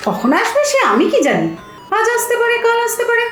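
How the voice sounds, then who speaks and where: A woman's voice: very high-pitched, huge pitch swings, a bit bright, exaggerated voice. A woman acting in an audio comedy as a brusque, easily exasperated bank clerk, speaking. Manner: brisk, scolding, exasperated. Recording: roomy echo, faint music.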